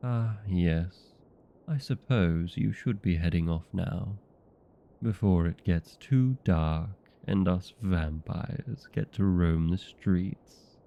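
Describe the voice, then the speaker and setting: A man voicing a courteous, proud old vampire in a comedic audio roleplay, speaking formally and unhurriedly with a gravelly voice. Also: low voice, slow, muffled recording, heavily acted. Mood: polite, friendly.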